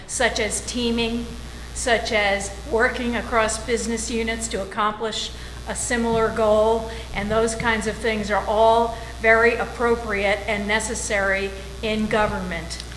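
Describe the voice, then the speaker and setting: A woman runs for mayor, giving a measured opening statement. She pauses often with fillers. Her slightly high voice rings in an echoey hall with faint background noise. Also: little pitch movement, a bit bright. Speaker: mayoral candidate. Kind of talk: opening statement at a public forum.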